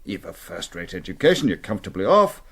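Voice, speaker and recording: An actor playing a middle-aged British sheriff in a radio drama, genial, formal and a little pompous. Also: male voice, lowish voice, very animated, fast, husky, full character voice. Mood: probing, teasing. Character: genial, pompous Scottish sheriff, law-and-order obsessed.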